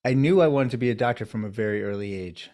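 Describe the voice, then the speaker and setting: A man, a urologist being interviewed, answering in a measured, thoughtful storytelling way. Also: on the low side, darkish tone. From United States, New York area. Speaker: urologist guest.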